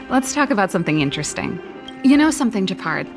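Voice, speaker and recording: A woman voicing a spirited, wistful young elder sister who invents and plays music in a video game, with a breezy, expressive delivery. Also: mid-range voice, moderate pace. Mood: lively, teasing. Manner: breezy, expressive, with sighs and trailing reflection. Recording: studio voice over background music. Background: game background music.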